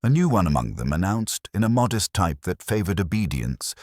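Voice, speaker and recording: Very deep-voiced male literary narrator. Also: very animated, fairly quick, a little mellow, no room sound, clean studio sound. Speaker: audiobook narrator. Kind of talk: scripted literary narration of a detective story.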